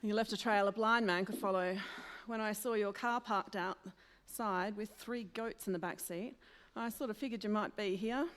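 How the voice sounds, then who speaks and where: A woman's voice: mid-range voice, fairly even intonation, moderate pace, fairly bright tone, lightly acted. A woman playing a sarcastic, coolly sore woman in a radio play. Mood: icy sarcasm. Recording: echoey hall.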